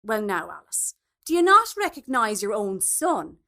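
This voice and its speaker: A woman's voice: mid-range voice, big pitch swings, a little slow, pushed and bright, full character voice. A woman voicing a wry, teasing parent on the sidelines in a story reading, with an arch manner. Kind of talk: storybook dialogue.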